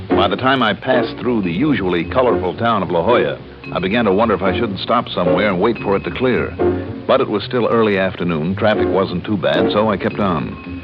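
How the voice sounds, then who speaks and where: An actor playing a wry, tough insurance investigator in a 1960s radio drama, with clipped, sardonic narration and dialogue. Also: male voice, fairly low pitch. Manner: wry, sardonic, clipped hard-boiled delivery. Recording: dull old radio recording with loud music.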